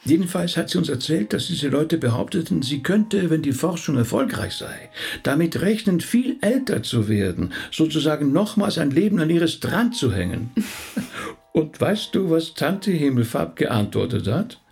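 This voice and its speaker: An actor playing a thoughtful, courteous middle-aged rabbi in a radio play, with a gravelly, husky voice and a hesitant way of talking. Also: male voice, on the high side, big pitch swings, moderate pace, darkish tone, faint music behind, exaggerated voice. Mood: reflective. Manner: reflective, hesitant, polite.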